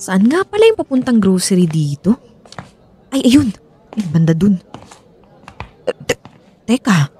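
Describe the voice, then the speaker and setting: A woman's voice: medium pitch, big pitch swings, measured pace, pauses often, warm tone, husky, soft background music, full character voice. A woman acting a young married woman in a Filipino radio drama, with a Filipino accent and an easily flustered, evasive manner.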